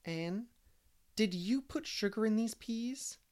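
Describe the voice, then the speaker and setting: An earnest young storybook girl, as a man reading aloud puts her on. The voice is raised in pitch, polite and a little anxious. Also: slow, a little mellow. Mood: puzzled.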